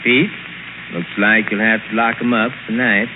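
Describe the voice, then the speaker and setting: A man acting a mild country justice of the peace in an old radio play. He drawls laconically in a lowish, folksy voice. The sound is tinny with clear noise. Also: steady tone, moderate pace, close to an everyday voice. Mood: mildly resigned. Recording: noisy, tinny 1940s radio recording.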